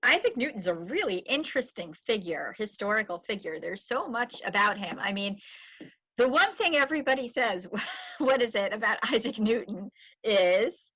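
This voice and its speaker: A woman's voice: mid-range voice, expressive, moderate pace, pushed and bright, husky, no echo, dull audio. A woman hosting a podcast in a chatty, rambling, casual interview style. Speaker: podcast host.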